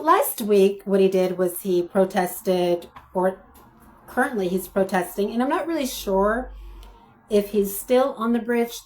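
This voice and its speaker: A hoarse woman hosting her own commentary channel, speaking to her viewers in a halting, thoughtful way with many pauses and restarts. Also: on the low side, a little mellow. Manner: addresses viewers directly. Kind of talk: spoken video commentary.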